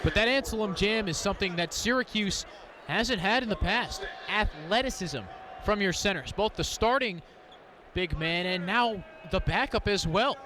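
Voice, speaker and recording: A man with a high-pitched voice provides color commentary on a live basketball radio broadcast. His delivery is analytical and built around statistics. Loud gym noise and ball bounces are clearly heard behind him. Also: expressive, moderate pace. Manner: analytical, stat-driven. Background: gym ambience, bouncing basketballs.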